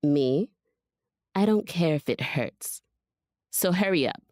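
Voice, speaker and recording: A woman acting as a condescending female vampire in an audio drama. Her voice is very low for a woman and recorded dry and close, giving curt orders with haughty, teasing disdain. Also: expressive, takes it slowly, a little mellow, no echo. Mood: mocking, impatient.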